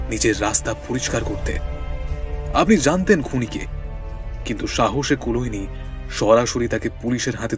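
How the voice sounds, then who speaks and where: An actor playing a shrewd, composed detective in an audio drama, speaking deliberately and formally as he explains. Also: medium pitch, natural voice. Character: shrewd, composed male detective unravelling a murder, husband. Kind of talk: Bengali audio drama acting.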